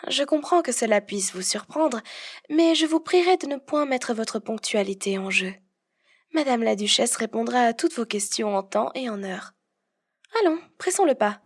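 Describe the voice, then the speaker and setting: A woman playing a strict, dutiful head housekeeper in a French audio roleplay, speaking in a prim, formal, measured way. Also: a little high, animated.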